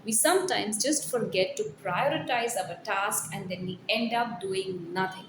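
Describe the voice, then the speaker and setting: A woman's voice: mid-range voice, moderate pace. A woman, a corporate trainer reading a written piece aloud with personal asides in an emphatic, earnest, exhortative manner. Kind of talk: scripted blog read aloud with personal asides. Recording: roomy echo, faint background noise.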